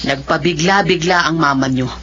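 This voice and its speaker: A woman's voice: low-pitched, normal speed, fairly bright tone. A woman with a Filipino accent playing a worried, cautioning middle-aged aunt in a radio drama. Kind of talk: melodramatic radio drama acting. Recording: dull lo-fi audio with hum.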